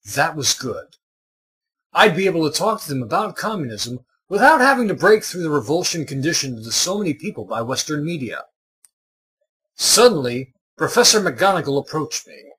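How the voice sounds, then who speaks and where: Husky man narrating with animated intonation. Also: a little high, normal speed, poor audio. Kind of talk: animated scripted story narration.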